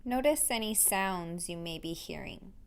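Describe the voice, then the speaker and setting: A woman instructor leading a guided meditation in a gentle, unhurried way with pauses between phrases. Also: fairly low pitch, animated, clean tone.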